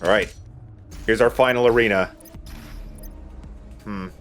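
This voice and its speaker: A man's voice: lowish voice, steady tone, unhurried, a bit bright, faint music behind. A man giving casual, chatty, self-deprecating commentary while he plays a video game as host. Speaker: let's play host playing the game.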